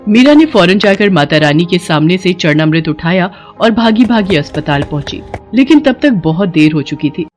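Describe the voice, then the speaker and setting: A woman's voice: lowish voice, expressive, moderate pace, hardly any pauses, darkish tone, soft background music. A woman narrating a scripted moral story as a storyteller.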